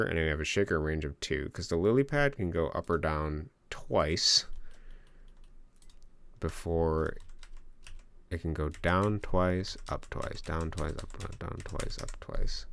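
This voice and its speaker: A man's voice: lively intonation, measured pace, gravelly, pauses often, fairly bright tone, no room sound. A man streaming himself programming a game, talking through the code step by step with self-mocking asides to his chat, in a soothing voice. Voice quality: soothing low voice. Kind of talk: live-streamed coding, thinking aloud. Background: keyboard typing and clicks.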